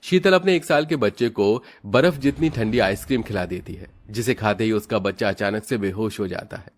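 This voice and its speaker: A man with a low voice narrates a children's moral tale in a scripted storytelling style. There is faint noise with some clicks in the recording. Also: a little fast.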